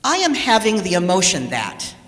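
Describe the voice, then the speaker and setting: In an echoey hall with long reverb, a woman reads a sermon from a script. Her delivery is measured and deliberate, and her pitch moves in a lively, wide range. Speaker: lay speaker reading a sermon.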